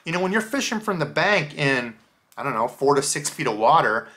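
A man in his thirties talks through fishing gear in a relaxed, chatty way, full of little fillers. His voice sits a bit high for a man and sounds bright and forward. He is recorded close up at a desk in a small room. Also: fairly quick. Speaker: fishing channel host. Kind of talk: casual lure unboxing review. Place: small indoor room with lures on the wall.

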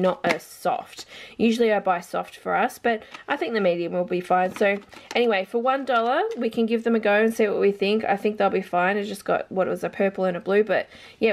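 An Australian woman talks casually to her camera at home while she films what she bought. Her speech wanders as she thinks aloud, with restarts and small asides about prices. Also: mid-range voice. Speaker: vlogger mum showing a grocery haul. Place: home, indoors, carpeted floor. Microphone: camera held over products, filming hands.

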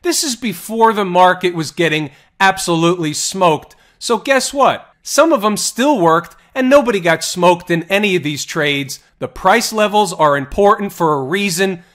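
Upbeat male trading coach, fairly high voice. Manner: energetic, rhetorical questions, sports metaphors. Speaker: trading educator. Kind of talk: stock market chart analysis. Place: voice-over on screen recording.

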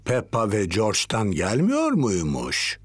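A man voicing a genial, enthusiastic older grandfather pig in a cartoon, jolly and deliberate. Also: fairly low pitch, wildly swooping pitch. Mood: puzzled. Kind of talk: cartoon dialogue. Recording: loud music behind the voice.

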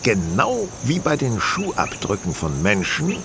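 An actor playing a confident, condescending detective in a German audio drama, lecturing precisely and theatrically. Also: male voice, fairly low pitch, huge pitch swings, measured pace, vocal fry, noisy background, heavily acted. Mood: smugly lecturing.

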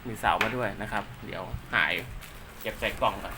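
A man's voice: lowish voice, a little fast, noisy background. A man giving casual, polite, rambling hands-on commentary as a technician showing gear he is setting up, half talking to himself as he works. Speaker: radio technician and seller presenting his work.

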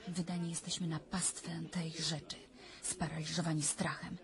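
A woman's voice: low-pitched, level tone, moderate pace. An actress playing a troubled, intense young lady of good family in a radio drama, earnest and hesitant. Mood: haunted, hushed. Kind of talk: 1990s radio drama acting.

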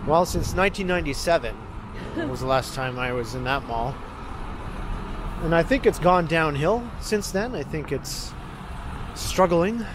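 Man, slightly high voice, casual walking vlog, relaxed chatter, loud traffic around. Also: measured pace, long pauses. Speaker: vlogger. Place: outdoors on a city street. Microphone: handheld gimbal camera. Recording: loud street and traffic noise. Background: passing traffic.

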